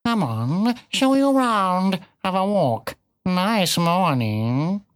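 A woman's voice: fairly low pitch, big pitch swings, slow, dry recording, clean studio sound, pitched above the performer's own voice. A woman voicing a battered, friendly ginger tomcat in a children's story, biting off short phrases and spitting them from the side of the mouth. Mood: brisk, friendly.

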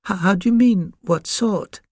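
A very small, timid puppy from a children's story. The voice is pitched high and thin, hesitant and innocent, recorded close and dry. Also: male voice, normal speed, darkish tone, no echo. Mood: meek, puzzled. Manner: hesitant, stammering. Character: tiny timid mongrel puppy, male, innocent and unsure.

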